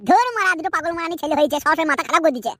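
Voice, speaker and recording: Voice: very high voice, racing, a bit bright, slight background noise, voice pushed down. A performer putting on the voice of an exasperated father who curses at his two sons. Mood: grumbling. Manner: grumbling, cursing at his sons. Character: gruff exasperated cartoon father.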